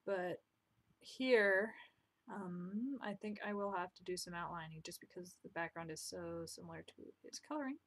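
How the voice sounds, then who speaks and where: A young woman casually commenting on her own drawing as she works, chatty and self-deprecating, with quiet muttered asides, recorded at home on a desk mic. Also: fairly low pitch, normal speed. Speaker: drawing vlogger. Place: home room, indoors.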